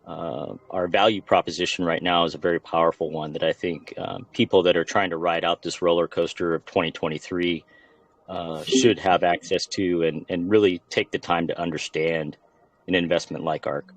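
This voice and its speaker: A man's voice: deep voice, steady tone, moderate pace, no echo. A man from a project team answering questions on a live audio chat, with many fillers and restarts. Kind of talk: casual AMA answer.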